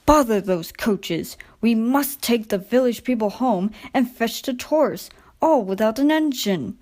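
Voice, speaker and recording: A woman voicing a brisk, authoritative male railway controller in a children's storybook. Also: fairly high pitch, animated, darkish tone. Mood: exasperated.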